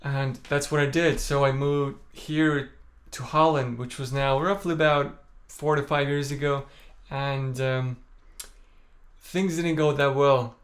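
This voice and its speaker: A young Estonian-born man speaks calmly and softly to a camera set up in front of him in a small room. His talk is candid and unhurried, sprinkled with "like" and "you know" as he tells his story. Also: mid-range voice. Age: young adult. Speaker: vlogger. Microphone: camera in front at chest framing.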